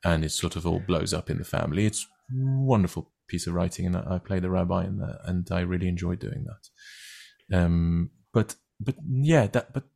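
A middle-aged man who grew up speaking Turkish talks as a guest, his voice very deep with a gravelly fry at the bottom. He speaks haltingly, restarting phrases and filling gaps with "uh" and "you know.". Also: dark tone. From Turkey. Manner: halting, many restarts and fillers. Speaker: guest actor. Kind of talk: casual conversation.

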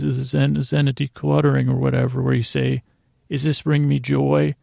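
An American man with a creaky, gravelly voice hosting a podcast, telling a meandering bedtime monologue that keeps interrupting itself. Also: medium pitch, a little mellow, no room sound, clean studio sound. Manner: meandering, halting, self-interrupting, digressive. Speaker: podcast host.

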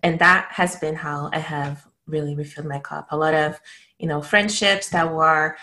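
A woman's voice: low-pitched, steady tone, moderate pace, sharp tone. A woman in her thirties from Brazil, a native Portuguese speaker, sharing her story casually and reflectively as an interview guest. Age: 30s.

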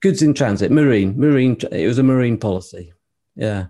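A man's voice: medium pitch, animated, moderate pace, mellow. A man interviewing a guest, asking questions with stammered restarts and polite agreement.

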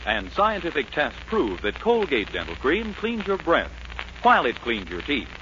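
A man's voice: a little high, wildly swooping pitch, normal speed, bright, forceful tone, a little hiss or hum. A man announcing a 1940s radio show in a polished, emphatic scripted delivery. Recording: muffled 1940s radio recording.

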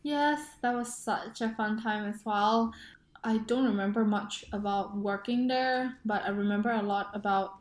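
A woman in her twenties co-hosting a casual podcast chat, telling stories in a relaxed way with frequent laughs. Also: medium pitch, normal speed. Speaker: podcast co-host. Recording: echoey room.

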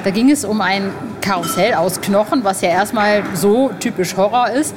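A woman's voice: medium pitch, moderate pace, over music. A woman hosting an interview in casual, colloquial, enthusiastic chat, gushing as she talks. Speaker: podcast host and interviewer.

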